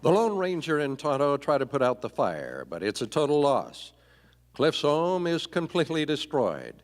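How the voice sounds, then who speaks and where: A man's voice: fairly high pitch, huge pitch swings, normal speed, soft background music. A man narrating a western in dramatic old-time radio style. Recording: echoey live theatre hall.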